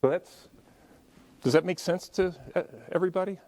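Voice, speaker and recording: A man with a deep voice giving a conversational lecture at a symposium, adding joking asides to the audience and moving around as he talks. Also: normal speed, darkish tone. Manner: moves around while speaking. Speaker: conference speaker. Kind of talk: conference lecture.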